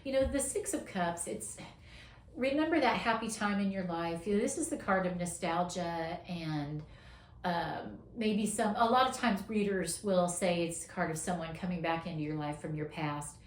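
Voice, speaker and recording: A woman doing a casual weekly tarot reading, encouraging and affirming, her voice going hoarse as she talks. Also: fairly low pitch, reverberant, a little hiss or hum. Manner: encouraging, affirming, drawn-out emphasis. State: losing her voice, coughing. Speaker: tarot reader.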